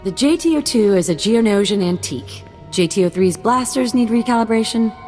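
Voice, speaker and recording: An actress playing a harried but loyal young servant in a video game, speaking in an informal, casual way. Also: female voice, on the high side, expressive, brisk, a little mellow, close to an everyday voice. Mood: flustered, polite. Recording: reverberant, faint music behind.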